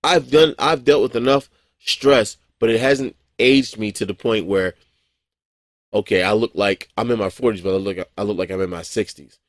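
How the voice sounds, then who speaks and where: A man's voice: lowish voice, normal speed, no room sound, studio quality. A man in his forties giving a casual, rambling monologue as a vlogger, blunt and profane, with frequent chuckles and restarts. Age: 40s.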